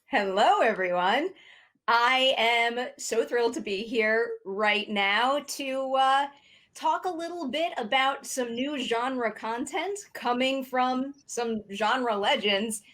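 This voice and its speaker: A woman's voice: fairly high pitch, takes it slowly, a bit bright. A woman moderating a panel, interviewing guests in an upbeat style.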